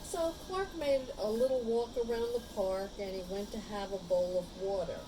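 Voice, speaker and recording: A woman reading a picture book aloud to young children, pausing for simple asides and questions about the pictures. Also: mid-range voice, noisy background. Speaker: storybook reader.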